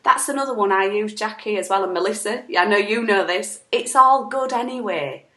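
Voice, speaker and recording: Bright, chatty woman in an echoey room. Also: mid-range voice, a little fast. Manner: chatty, emphatic, acts out quotes. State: sore throat. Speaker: co-host. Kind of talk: casual spiritual self-help chat. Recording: echoey room on an online call.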